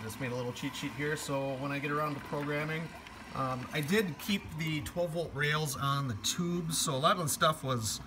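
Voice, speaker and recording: A man's voice: on the high side, steady tone, normal speed. A man giving a casual, unscripted show-and-tell of a hobby project, thinking aloud as he goes. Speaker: hobbyist maker presenting a project. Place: indoor room, workshop or office. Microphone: handheld phone or camera, operator behind it. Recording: music playing loudly over the voice. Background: radio music.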